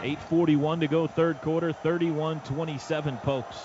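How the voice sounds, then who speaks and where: A man's voice: fairly high pitch, flat delivery, moderate pace, music in the background. A man giving live radio play-by-play of a football game in clipped, urgent phrases. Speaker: play-by-play announcer. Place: stadium radio booth. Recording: dull, noisy stadium radio broadcast.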